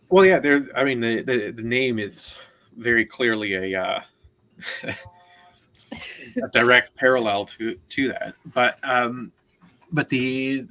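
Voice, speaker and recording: A man co-hosting a casual literary discussion, explaining things in a halting, deliberate way and often repeating words. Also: medium pitch, sharp tone, rough recording. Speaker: co-host, literary commentator.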